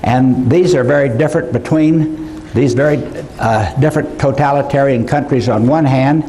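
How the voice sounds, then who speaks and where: An older man giving a conference lecture, halting, with many fillers and restarts. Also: mid-range voice, dark tone, slight background noise, poor audio. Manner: slow, halting delivery with frequent fillers. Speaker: conference speaker. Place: conference hall.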